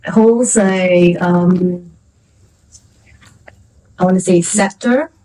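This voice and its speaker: A woman from Singapore speaking casually on a festival panel, hesitant with many pauses. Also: on the low side, mellow, distant mic, slight background noise, rough recording. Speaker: author on a panel. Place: festival venue.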